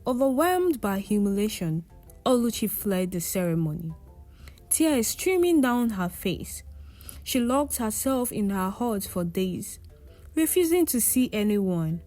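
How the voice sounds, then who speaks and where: A woman's voice: mid-range voice, sing-song, measured pace, dark tone, faint music behind. A woman narrating a scripted folktale as a storyteller.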